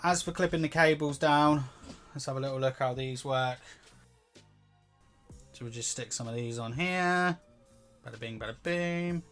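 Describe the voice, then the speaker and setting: Young man, nasal from a cold, chatty rambling review, mid pitch, faint background music. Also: a bit bright. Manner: chatty, rambling, lots of fillers and restarts. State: has a cold, sounds nasal. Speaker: tech reviewer. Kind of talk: casual product review. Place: home desk setup beside a busy street.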